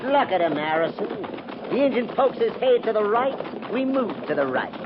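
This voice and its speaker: An actor playing a needling, bitter cavalry trooper in a 1950s radio western, taunting in folksy frontier speech. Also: male voice, high-pitched, very animated, moderate pace. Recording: noisy muffled 1950s radio recording.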